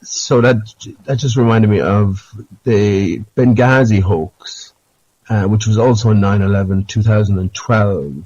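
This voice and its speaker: A man's voice: fairly low pitch, steady tone, a little slow, mellow, no echo. A man calling in to chat casually, hesitant and digressive with a conspiratorial bent, on lo-fi call audio. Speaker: caller.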